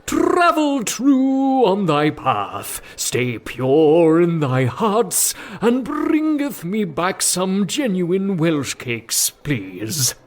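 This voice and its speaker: A pompous male priest played by an actor in an audio comedy. His high voice rises and falls grandly as he draws out solemn, mock-biblical blessings at a crawl. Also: wildly swooping pitch, exaggerated voice. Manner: solemn, drawn-out, archaic preaching.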